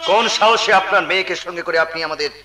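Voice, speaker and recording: A man's voice: high-pitched, quick pace, over music, natural voice. A man playing a haughty, hostile young man of the house in a folk-theatre drama, commanding and theatrical. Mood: indignant. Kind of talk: Bengali jatra folk-theatre acting.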